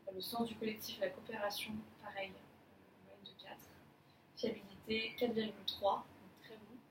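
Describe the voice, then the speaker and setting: A woman of middle pitch runs an appraisal interview, pausing often on fillers as she reads out ratings. She is heard from afar in an echoey room, with steady noise underneath. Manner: halting, many fillers, reading out scores. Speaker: manager conducting an appraisal interview. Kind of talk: role-played job appraisal interview. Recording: echoey room from far off, clear background noise.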